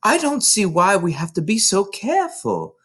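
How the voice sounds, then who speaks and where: A man voicing an excited, eager, precocious small schoolgirl in a storybook reading, gushing with breathless run-on eagerness. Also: sits high, normal speed, husky, voice pushed up, exaggerated voice. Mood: curious, insistent. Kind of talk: children's storybook read aloud.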